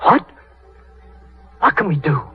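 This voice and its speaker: An actor playing a flustered, helpless financier in a 1930s radio drama. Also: male voice, slow pace, soft background music, natural voice. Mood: panicked. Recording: muffled 1938 radio broadcast.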